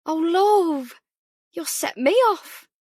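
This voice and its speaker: A woman's voice: extremely high pitch, animated, very slow, a little mellow, no room sound, clean studio sound, voice pushed up, full character voice. A woman voicing a cheery, doting, anxious older mother chatting on the phone in an audiobook. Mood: tender, worried. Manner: chatty, fussing, affectionate. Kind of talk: audiobook character dialogue.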